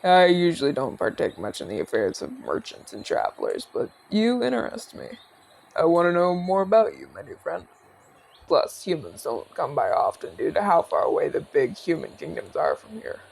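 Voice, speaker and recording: A man voicing a genial retired orc general, calm and inquisitive, speaking courteously to the listener in an ASMR audio roleplay. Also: a little high, vocal fry, full character voice, rough recording. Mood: friendly, curious. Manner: courteous, measured, chatty.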